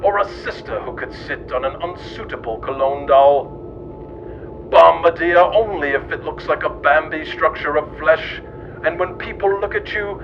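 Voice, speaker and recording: A man reading a scripted, absurd comic history as its narrator. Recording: muffled, noisy recording with low rumble.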